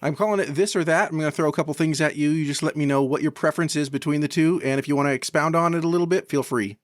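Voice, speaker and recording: A man's voice: on the high side, very fast, no room sound, clean studio sound. A man hosting a podcast, chatting casually as he interviews guests.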